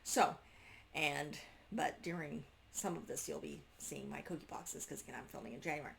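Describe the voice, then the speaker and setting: Creaky-voiced woman giving a halting casual review. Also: deep voice, steady tone, a little hiss or hum. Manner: halting, self-interrupting, trailing off mid-sentence. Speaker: homeschool book reviewer. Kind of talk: casual unscripted review to camera.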